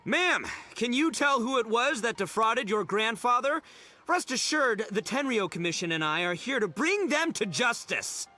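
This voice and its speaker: Brash, animated young gang boss. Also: male voice, very high-pitched, normal speed, faint music behind, exaggerated voice. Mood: declaiming. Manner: blustering, bumbling, theatrical. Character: brash, dim, big-hearted young male gang boss, hamming as policeman. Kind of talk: video game voice acting.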